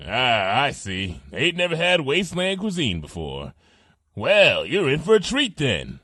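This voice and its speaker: A man's voice: mid-range voice, huge pitch swings, a little slow, pushed and bright, husky, no room sound, clean studio sound, full character voice. A man playing a hearty, folksy pony cook in an audio drama, blustery and informal with a drawl. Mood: hearty, friendly. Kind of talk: audio drama character acting.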